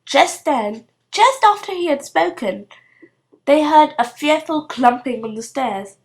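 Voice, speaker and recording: Female storybook narrator, high-pitched, highly animated intonation, reading for children. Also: measured pace. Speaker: storybook reader.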